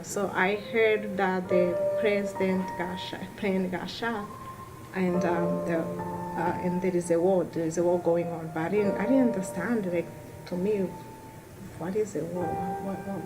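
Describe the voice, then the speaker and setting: A young woman from Rwanda telling her life story in an interview, soft-spoken and halting, often restarting her sentences. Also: lowish voice, low-quality recording. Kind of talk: personal testimony interview. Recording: music bed underneath.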